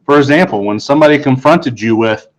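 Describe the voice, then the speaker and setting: A man's voice: mid-range voice, lively intonation, fast, dull audio. A man reading a first-person children's story aloud as scripted narration. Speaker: audiobook reader.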